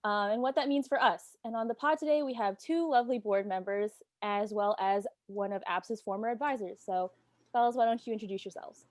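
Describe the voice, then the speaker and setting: A woman's voice: medium pitch, fairly quick, dry recording. Young woman hosting a casual podcast. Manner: relaxed, many "like" and "um" fillers. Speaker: podcast host.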